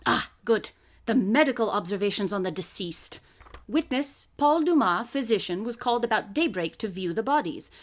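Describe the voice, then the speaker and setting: An actress in a live radio drama playing a composed woman who reads out evidence in a measured, articulate way. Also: medium pitch, expressive, close to an everyday voice. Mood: composed, grave. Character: woman reading out police evidence, composed, precise. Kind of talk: live radio drama, formal report reading.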